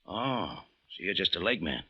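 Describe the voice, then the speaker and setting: A man's voice: deep voice, sing-song, a little slow, pushed and bright, slightly rough, heavily acted. A man with an American accent playing a wry, hard-boiled cafe owner who narrates an old-time radio detective drama. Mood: tough. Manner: sardonic, tough, wisecracking. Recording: muffled old radio recording, music behind.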